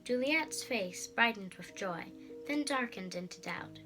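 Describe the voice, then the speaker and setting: Young girl reading a storybook out loud, expressive, bright voice, soft music behind. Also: fairly high pitch, a little slow. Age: child. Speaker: storybook narrator.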